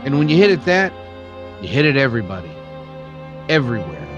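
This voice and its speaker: Guy acting a solemn indignant old west townsman, radio drama, emphatic and expressive, fiddle music under him. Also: medium pitch, a little fast, highs cut off, lightly acted. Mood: grave, impassioned.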